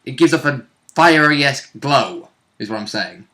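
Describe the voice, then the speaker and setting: A man's voice: mid-range voice, lively intonation, normal speed, pushed and bright. A man who plays tabletop games, chatting casually and animatedly about a session, swearing freely. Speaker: tabletop player. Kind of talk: casual chat recapping a tabletop game.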